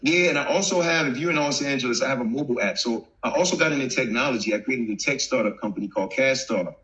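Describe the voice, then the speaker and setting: A man in his thirties from Virginia with a softened Southern accent, a former football player turned actor chatting easily as a guest, laughing often and full of fillers. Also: mid-range voice, fast, muffled recording. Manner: relaxed, laughs often, many fillers and restarts. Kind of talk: casual interview chat. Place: home, remote video call. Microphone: video call.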